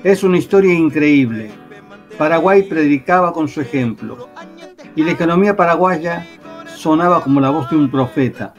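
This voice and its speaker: A man's voice: a little high, normal speed, dull audio, faint music behind. A man patiently explaining history as a storyteller in a radio drama.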